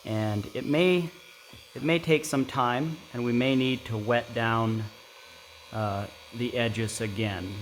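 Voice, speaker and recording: A middle-aged man giving a casual, unhurried hands-on tutorial in an informal, explanatory way. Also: medium pitch, poor audio. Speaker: craft tutorial host. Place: indoor workshop at a workbench. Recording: clear background noise with clicks.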